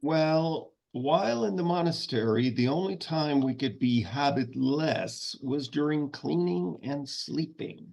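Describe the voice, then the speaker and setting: A man's voice: on the high side, animated, a little slow, vocal fry, hardly any pauses, darkish tone. A man playing a pompous, stern traditionalist monk who lectures and scolds in a comedy script read. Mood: lecturing.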